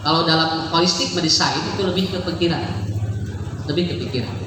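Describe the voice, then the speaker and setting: A man's voice: a little high, steady tone, fairly quick. Male preacher, Sundanese accent, humorous chatty delivery; distant, reverberant hall recording. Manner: chatty, joking, mixing in local words, laughs often. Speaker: Islamic preacher. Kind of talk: lively humorous sermon to a congregation. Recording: echoey hall, far from the mic, noisy.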